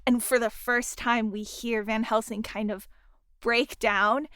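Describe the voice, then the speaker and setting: Clear higher-voiced woman, close and dry. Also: fairly even intonation, a little slow, a bit bright, no echo. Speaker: interviewer and audio drama colleague. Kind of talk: casual interview chat.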